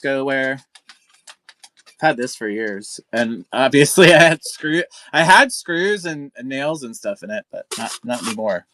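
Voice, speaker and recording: A woman's voice: a bass voice, expressive, a little slow, a bit bright. A middle-aged woman co-hosting a casual livestream, rambling and often interrupting herself as she talks. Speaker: co-host of a vintage seller livestream. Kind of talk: casual unscripted livestream chat.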